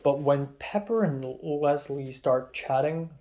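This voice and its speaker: Young guy vlogging, kinda high voice, rambly and excitable, swears a lot. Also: slow. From Hong Kong. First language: Cantonese. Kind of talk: casual diary vlog.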